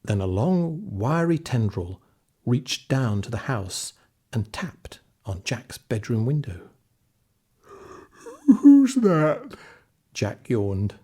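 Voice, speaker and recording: A man narrating a children's fairy tale in an expressive, scripted storytelling style. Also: on the low side, slow pace, breathy edge. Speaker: audiobook narrator.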